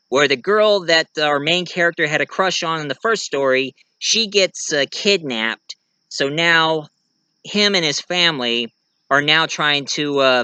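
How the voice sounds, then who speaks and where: A man giving a casual show-and-tell of his own fiction, rambling and halting mid-sentence to correct himself. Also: a little high, pushed and bright, clear, resonant tone, no room sound. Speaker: amateur writer and online video creator.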